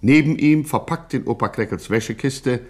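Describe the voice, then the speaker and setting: A man's voice: mid-range voice, normal speed, a little mellow. A man narrating a scripted radio satire in a dramatic, solemnly pompous, mock-heroic manner.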